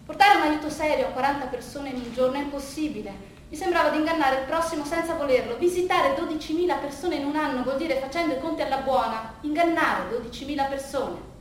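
A woman playing a weary, disillusioned older psychiatrist in a theatrical monologue, speaking in a measured, deliberate way with rhetorical repetition. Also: high voice, lightly acted. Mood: anguished. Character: veteran psychiatrist of thirty-five years, weary, disillusioned, reflective. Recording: roomy, distant stage recording, faint noise.

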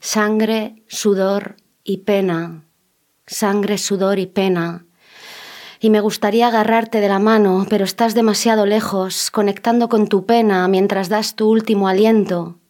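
A woman's voice: medium pitch, fairly even intonation, measured pace, darkish tone. A woman reciting her own poem in a solemn, rhythmic spoken-word style with repeated refrains.

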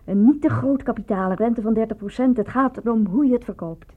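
A woman's voice: on the high side, fairly quick, husky. Lovestruck, rueful woman, thin tinny recording. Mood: bitter, rueful. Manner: reflective, confessional. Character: lovestruck, self-reproaching provincial woman recalling her affair. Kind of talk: radio play acting. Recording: thin, phone-like old radio recording.

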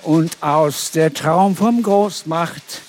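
A man's voice: fairly high pitch, extremely slow. A man performing experimental, repetitive recitation in an audio drama. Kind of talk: slow experimental recitation with repeated phrases. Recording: clear noise with clicks.

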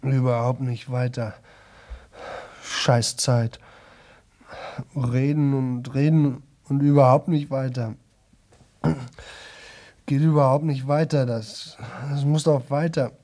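Slow, exhausted young man acted out by a man. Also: medium pitch, dark tone, close to an everyday voice. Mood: desperate, exasperated. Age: young adult. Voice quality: rough, strained throat. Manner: halting, repetitive, broken fragments. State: exhausted, sore-throated. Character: exhausted, desperate young man attempting nonstop-talking world record. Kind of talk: 1970s German radio play monologue.

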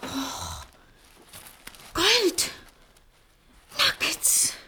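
An actress playing an eager, homely frontier farmer's wife in a German radio play, with expressive delivery. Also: female voice, very high-pitched, extremely slow, a little hiss or hum, exaggerated voice. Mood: excited.

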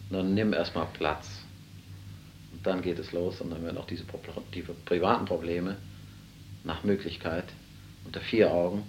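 A man's voice: low-pitched, steady tone, moderate pace, pauses often, darkish tone, a little hiss or hum. A man who manages a department store, answering an interview hesitantly with many fillers. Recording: dull lo-fi tape.

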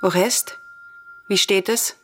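A woman's voice: medium pitch, steady tone, slow pace, slight background noise, natural voice. An actress playing a fervent, vengeful daughter of a murdered king in a classical radio tragedy, solemn and declamatory. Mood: tense.

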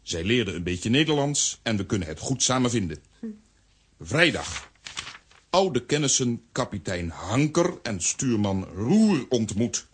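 An actor playing a simple-minded strongman who speaks in gruff, clipped phrases, in a children's radio play. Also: mid-range voice, big pitch swings, unhurried. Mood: reading aloud. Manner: clipped short sentences, refers to himself by name. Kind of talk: Dutch-language children's radio play acting.

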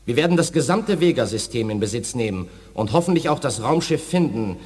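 An actor playing an authoritarian, pompous reptilian alien admiral in a science fiction radio drama. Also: male voice, mid-range voice, lively intonation, fairly quick, a little mellow, lightly acted. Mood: stern, confident. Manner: commanding, pompous. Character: imperious male reptilian alien admiral, authoritarian, easily outraged. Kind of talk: 1980s German radio drama acting. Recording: studio radio play with long reverb.

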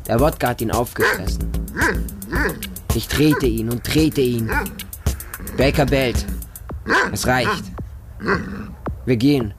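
A man's voice: mid-range voice, takes it slowly, long pauses, over music, natural voice. An actor narrating a radio drama as a bleak, hardened homeless Russian street boy, in short, stark sentences. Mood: bitter, grim. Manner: short, plain, stark present-tense sentences.

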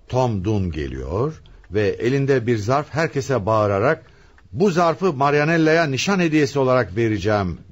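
An actor playing a brisk, authoritative police commissioner interrogating suspects in a radio play. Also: male voice, medium pitch, breathy edge. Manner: commanding, deliberate, theatrical.

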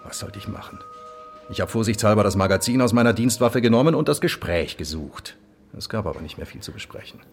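An actor playing a dry, stubborn undercover police detective in a crime radio drama, laconic and sardonic. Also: male voice, fairly low pitch, fast talker, creaky, soft background music. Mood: sardonic, bitter. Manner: laconic, sardonic, deadpan wording. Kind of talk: naturalistic German crime radio drama acting. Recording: faint background noise.

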